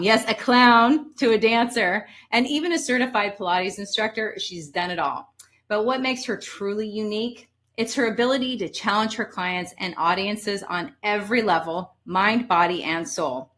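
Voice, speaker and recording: A woman's voice: medium pitch, fairly bright tone. A woman hosting an interview show, telling a rambling story and then giving an enthusiastic introduction of her guest. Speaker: host.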